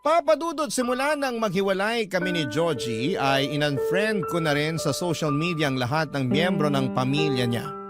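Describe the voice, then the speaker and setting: Casting: male Filipino-accented radio drama narrator, higher register, dramatic first-person storytelling, prominent music behind. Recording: loud music bed under voice.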